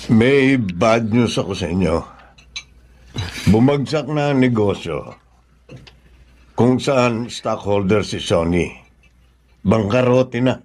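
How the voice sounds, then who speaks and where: A man's voice: lowish voice, expressive, slow pace, long pauses, a little mellow. An actor with a Filipino accent playing an earnest, solemn middle-aged father devoted to his children in a radio drama. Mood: grave.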